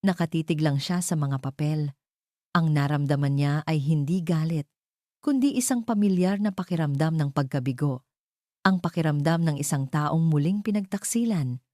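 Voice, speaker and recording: A woman's voice: sits low, normal speed, a little mellow, dry recording, clean studio sound. A woman reading a scripted romance story as a dramatic narrator.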